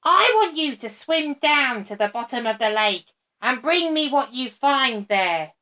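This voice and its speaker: Woman doing a wicked old witch, super shrill and bossy, drags her words out slow, kinda muffled lo-fi sound. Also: expressive, bright, forceful tone, heavily acted. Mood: commanding. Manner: shrill and commanding, with drawn-out words. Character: wicked cackling old female witch, greedy and scornful. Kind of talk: storybook reading.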